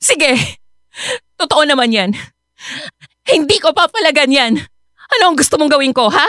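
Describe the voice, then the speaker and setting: A woman's voice: sits high, big pitch swings, normal speed, a bit bright, no room sound, clean studio sound. An actress playing a hurt but firm young mother confronting her husband reproachfully in a radio drama.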